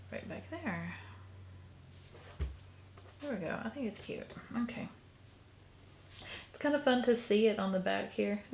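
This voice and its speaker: A sniffly woman with a low, gravelly voice muses softly as she works, her words often drifting down to a half-whisper. The sound is echoey and roomy. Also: a little hiss or hum. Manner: soft, musing, often trailing into a half-whisper. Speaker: crafter showing a stitching project. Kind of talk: casual crafting tutorial. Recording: echoey room recording.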